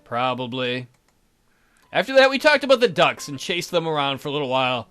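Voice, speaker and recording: Male gaming host, playful, high and bright, narrating a visual novel with joking asides. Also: sing-song, fast talker, soft background music. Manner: playful, jokey. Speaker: let's play host. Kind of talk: visual novel read-aloud with joking commentary.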